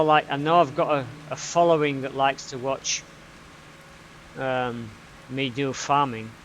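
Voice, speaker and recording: A man's voice: mid-range voice, a bit bright, slight background noise, rough recording. Chatty Yorkshire man rambling over gameplay. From Yorkshire, England. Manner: chatty, rambling, tag questions. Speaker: gaming YouTuber.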